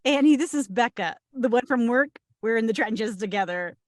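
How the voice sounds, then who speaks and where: A woman playing an excited, friendly bride-to-be in a comedy table read, eager and bubbly. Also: a little high, normal speed, no echo, clean studio sound, lightly acted.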